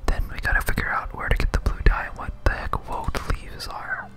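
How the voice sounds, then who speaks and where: A gamer speaking in a breathy whisper, giving soft, rambling ASMR commentary while playing a video game. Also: a little fast.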